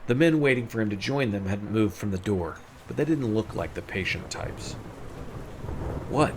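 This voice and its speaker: Male storyteller, lowish pitch, scripted story narration, faint background ambience. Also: animated, a little fast, slight background noise.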